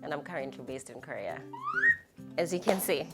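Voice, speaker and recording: A woman's voice: deep voice, animated, brisk. A South African woman with a South African accent, speaking candidly as an interviewee. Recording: music behind the voice.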